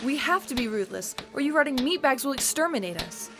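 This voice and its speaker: A woman's voice: high-pitched, lively intonation, brisk. A woman playing a teenage girl who rehearses a haughty, ruthless vampire role in a melodramatic school play. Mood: scornful. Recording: music behind the voice.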